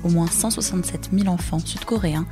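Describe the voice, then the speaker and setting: A woman with a low voice hosts an interview. She reads a scripted opening and then asks her questions calmly. Faint music sits underneath her voice. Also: fast. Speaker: host.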